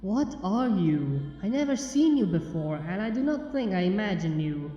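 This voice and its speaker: A man voicing a polite, hesitant young pony filly in a storybook, in halting, simple phrases. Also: high voice, big pitch swings, dark tone. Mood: wary, curious. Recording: muffled, echoey long reverb under music.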